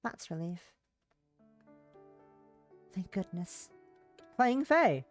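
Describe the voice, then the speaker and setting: A woman's voice: mid-range voice, very animated, very slow, faint music behind. A woman livestreamer reading a visual novel's narration aloud, stumbling over words and rereading phrases, with brief casual asides.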